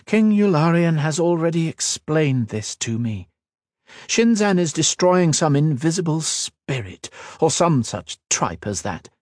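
A man voicing a proud, wary queen who speaks in a haughty, cutting, measured way. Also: a little high, sing-song, a little mellow, no room sound, clean studio sound, pitched above the performer's own voice. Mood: scornful.

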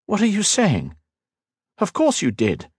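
A man's voice: sits high, wildly swooping pitch, darkish tone, breathy edge, dry recording, studio quality, pitched above the performer's own voice, exaggerated voice. A man voicing Liniel, a formal and thoughtful male elf noble in a fantasy audiobook. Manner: formal, measured.

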